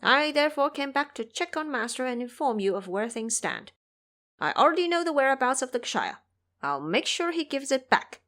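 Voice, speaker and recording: A woman's voice: high voice, sing-song, normal speed, fairly bright tone, full character voice. A woman voicing a boastful, protective male monkey disciple in an audio drama, with a swaggering, emphatic delivery. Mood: boastful reporting.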